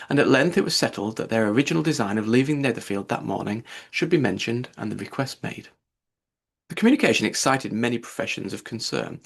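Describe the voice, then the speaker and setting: A man reads a classic novel aloud as an audiobook narrator. His voice is mid-pitched with a gravelly creak and a husky, rough-edged tone. The delivery is scripted literary narration.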